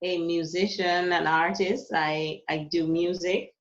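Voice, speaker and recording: Jamaican-born woman guest, thirties, low-pitched, unhurried halting chat, dull lo-fi recording. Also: steady tone. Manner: soft-spoken, hesitant, many fillers. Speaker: musician, guest. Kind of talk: casual interview talk. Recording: lo-fi muffled audio.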